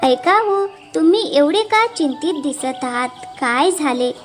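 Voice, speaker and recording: A village wife from a cartoon fable, played by a woman, with a very high female voice. She is caring but shrewd and insistent, speaking over clearly audible music. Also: lively intonation, measured pace. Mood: concerned. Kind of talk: cartoon moral-story dialogue.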